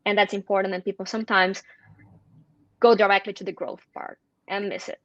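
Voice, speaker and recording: A woman guest in an interview, speaking thoughtfully with frequent "like" fillers and slowing down for emphasis. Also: medium pitch, flat delivery, no echo, muffled recording. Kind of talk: interview conversation.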